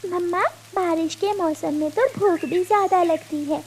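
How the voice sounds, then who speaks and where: A woman's voice: extremely high pitch, moderate pace. A woman voicing a curious, eager young daughter bird in a children's cartoon. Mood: curious, concerned. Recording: faint background noise.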